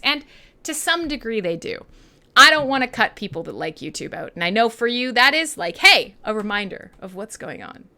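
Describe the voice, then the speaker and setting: A woman's voice: medium pitch, sharp tone. A Canadian woman hosting an online radio show, chatting casually and bluntly to listeners in an irreverent, sweary way. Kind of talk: casual live-stream chat with listeners.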